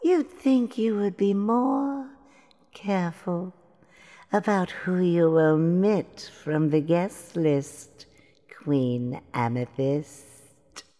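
Evil old fairy for an audio play, deep voice, gloating and theatrical, big echoey hall. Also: female voice, extremely slow, dark tone. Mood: mocking. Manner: theatrical, drawling, mock-sweet taunting. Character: wicked old fairy, gloating, mocking, vengeful. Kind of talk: fairytale audio drama acting. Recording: long hall reverb.

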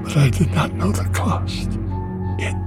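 A man's voice: music in the background, natural voice. An actor playing a frightened, grieving male church elder, reading out a farewell note in a horror audio drama with anguish. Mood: anguished dread. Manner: slow, anguished.

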